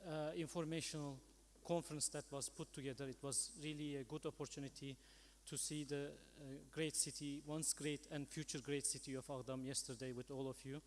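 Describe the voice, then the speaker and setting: A man's voice: on the high side, level tone, fairly quick, hardly any pauses, slight background noise. A man chairing a conference session, speaking politely and hesitantly in semi-formal, unscripted remarks. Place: large conference hall. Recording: echoey hall with long reverb.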